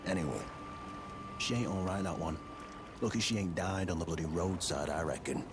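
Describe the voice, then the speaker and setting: Deep, gruff English thug played by a man. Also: moderate pace, noisy background, natural voice. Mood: grudging, gossipy. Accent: working-class English. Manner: blunt, surly, slangy, swearing. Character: gruff, shifty male thug selling boiled prawns. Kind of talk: video game character acting. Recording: loud music behind the voice.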